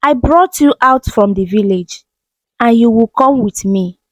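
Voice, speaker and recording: A woman's voice: fairly high pitch, a little slow, dry recording, close to an everyday voice, rough recording. A woman voicing a jilted, obsessive young man in a dramatic, insistent way for a story. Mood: angry, determined.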